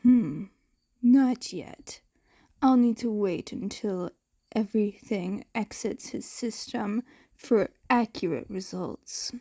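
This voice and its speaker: A cold, calculating masked villain from a storybook thriller, voiced by a woman. He speaks slowly and clinically, with a mellow edge. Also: medium pitch.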